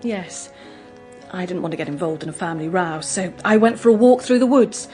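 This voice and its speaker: An actress playing a gentle, guarded young English woman in a radio drama, speaking in an earnest, hesitant, confiding way. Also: on the low side, animated, a little fast, soft background music, natural voice. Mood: earnest, anxious.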